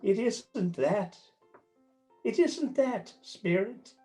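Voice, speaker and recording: A man's voice: sits high, lively intonation, extremely slow, mellow, soft background music, exaggerated voice. A man playing an old miser emotionally revisiting his past, exclaiming as he goes, in a radio play. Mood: earnest.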